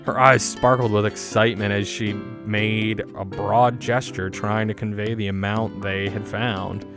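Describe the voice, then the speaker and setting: A man's voice: lowish voice, level tone, a little slow, over music. A man streaming a game, reading its story aloud in a casual but theatrical storytelling manner. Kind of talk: casual read-aloud narration of a visual novel.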